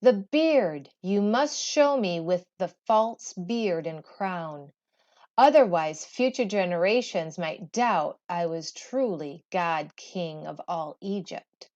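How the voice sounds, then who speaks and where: A woman's voice: fairly low pitch, expressive, takes it slowly, darkish tone, dull audio. A woman reading a storybook aloud, voicing a proud, imperious and playfully teasing Egyptian queen with regal emphasis. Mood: commanding.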